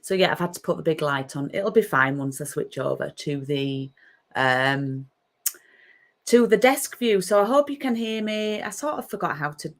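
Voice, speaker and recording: A woman's voice: low voice, animated, normal speed. A middle-aged woman with a Yorkshire accent, chatting casually on a craft live stream from her home craft room and greeting viewers by name. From Yorkshire, England.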